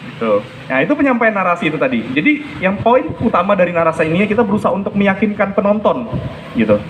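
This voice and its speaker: A high-voiced man speaks as a storytelling coach. He explains point by point, stressing and repeating what matters, with some background noise around him. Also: very animated, quick pace, rough recording. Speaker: storytelling coach and judge giving feedback. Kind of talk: spoken feedback with demonstrations.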